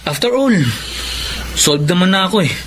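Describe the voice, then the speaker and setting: A man's voice: high-pitched, expressive, moderate pace, fairly bright tone. A man playing a young graduate son, polite but easily hurt, in a radio drama. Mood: sulky, polite. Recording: faint background noise.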